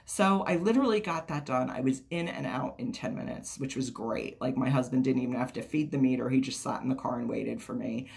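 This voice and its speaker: A woman vlogger chatting casually to camera, her voice raspy and hoarse from a cold, rambling and swearing freely. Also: very deep voice, fairly quick, few pauses, darkish tone.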